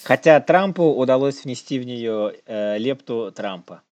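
A man's voice: mid-range voice, expressive, measured pace, a little mellow. A man co-hosting a comedy news show, bantering casually and jokingly in slang and acting out bits.

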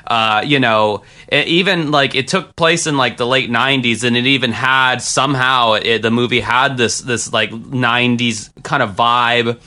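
An American man talks through a movie in loose, casual podcast chat, often restarting his sentences and trailing into fillers. His voice is bright and forward, with a clean, clear tone. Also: mid-range voice, fairly even intonation, normal speed, few pauses. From United States. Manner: rambling, many restarts and fillers. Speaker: film podcast co-host. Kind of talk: casual film review chat.

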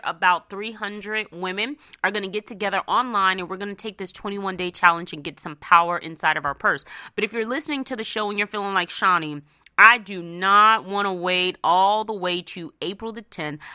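A woman's voice: medium pitch, pushed and bright, dry recording, clean studio sound. An American woman hosting a radio show, talking conversationally and directly to her listeners in a motivational style, often checking in with them. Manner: conversational, addresses listeners directly with frequent "right?" and "okay?". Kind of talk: motivational personal finance talk to listeners.

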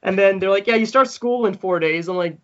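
Animated storytelling man, slightly muffled. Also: high voice, brisk. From South Africa. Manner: animated, laughing often, acts out quoted people. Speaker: podcast guest. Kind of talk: casual storytelling.

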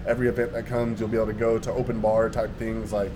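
A man's voice: lowish voice, flat delivery, fast talker. Laid-back slangy man, noisy background. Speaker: podcast guest. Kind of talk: casual podcast chat. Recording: noticeable background ambience noise.

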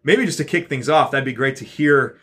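A man's voice: medium pitch, normal speed, background noise. A man co-hosting a church podcast, talking in a rambling, encouraging way with frequent fillers. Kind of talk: casual chat.

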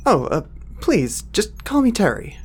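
A man's voice: sits high, huge pitch swings, a little slow, breathy edge, faint music behind, full character voice. A man playing a nervous, apologetic young assistant in an audio drama, hesitant, polite and easily flustered.

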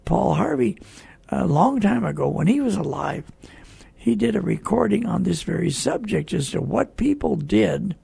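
Male host-preacher, high-pitched and heavily rasping, emphatic conversational delivery, dry close sound. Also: dark tone, no room sound. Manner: emphatic, spells out and repeats key words.

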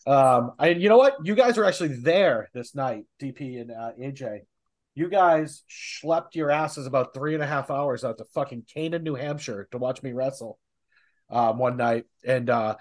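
A man co-hosts a loose, profane podcast among friends. His mid-pitched voice moves up and down with a lot of animation. He teases and jokes, often breaking into laughter. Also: lively intonation, moderate pace, a little mellow. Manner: joking, teasing, laughs often. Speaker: podcast host.

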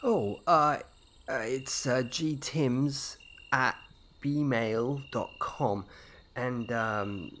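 A man's voice: medium pitch, drawn-out words, fairly bright tone, slight background noise. An actor playing a nervous, bewildered man out with his dog, hesitant and stammering, in a comedy-horror audio drama.

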